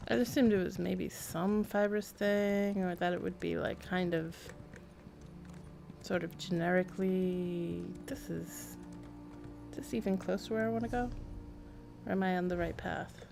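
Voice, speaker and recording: A woman's voice: on the low side, steady tone, measured pace, gravelly, pauses often, music in the background. A woman chatting casually and thinking aloud as she livestreams a video game. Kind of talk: casual game stream commentary.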